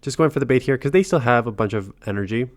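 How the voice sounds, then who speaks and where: A man's voice: medium pitch, lively intonation, fast talker, no room sound, studio quality. A man with a cold, nasal and congested, giving casual commentary on his own game match, thinking aloud and breaking off mid-sentence. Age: adult father. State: sick with a cold. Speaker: gaming channel host.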